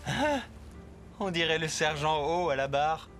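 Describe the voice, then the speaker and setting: A cheerful bearded scientist, expressive, bright tone. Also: male voice, fairly high pitch, a little slow, soft background music. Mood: wistful. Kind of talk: French cartoon dub.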